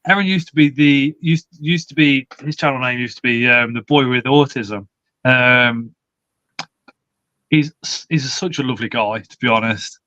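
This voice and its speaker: A man in his forties chats through a live stream, reading out viewers' comments and swearing casually. His voice sits at an ordinary pitch. The sound is dry and slightly dull. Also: moderate pace, sharp tone, no echo. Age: 40s. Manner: chatty, swears casually, laughs often. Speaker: livestream host.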